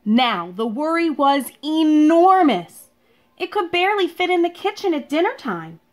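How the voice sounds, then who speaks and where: High-pitched, expressive woman reading to children. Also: measured pace. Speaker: elementary school teacher reading a picture book aloud. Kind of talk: read-aloud for young children. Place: living room at home.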